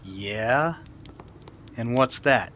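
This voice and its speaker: An actor playing an even-tempered, reasonable cowboy with a measured, wary manner in a Western radio drama. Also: male voice, medium pitch, a little hiss or hum. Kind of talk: old-time radio Western acting.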